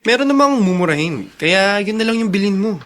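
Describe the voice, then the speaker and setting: A generous, eager younger brother in a radio drama. His voice is fairly high for a man, bright and lively in its swings. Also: fairly quick. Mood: helpful. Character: helpful, generous younger brother, a fond uncle.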